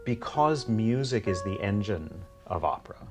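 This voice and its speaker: A man in his fifties, speaking thoughtfully and haltingly as he searches for words in a documentary interview. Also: lowish voice, sing-song, music in the background. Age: 50s.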